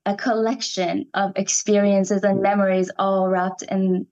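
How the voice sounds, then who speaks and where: A woman's voice: medium pitch, little pitch movement, measured pace, a little mellow, lo-fi sound. A young woman from Iran, raised in Canada, speaking as an actress in an interview, thoughtful and enthusiastic. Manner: enthusiastic, thoughtful, searching for words. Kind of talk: reflective interview conversation.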